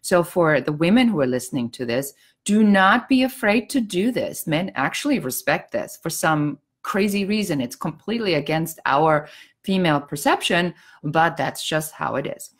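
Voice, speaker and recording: A woman's voice: deep voice, animated, normal speed. A middle-aged woman from Germany with a German accent, giving blunt, outspoken business advice in a casual talk with dry sarcasm. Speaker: business coach.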